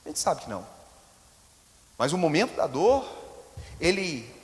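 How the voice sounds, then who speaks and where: A Brazilian pastor in his forties preaches with a clearly high voice and a Brazilian Portuguese accent. He tells stories and drops rhetorical asides to his congregation. His voice rings out with the long reverb of a church hall. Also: sing-song, unhurried. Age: 40s. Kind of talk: sermon. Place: church sanctuary.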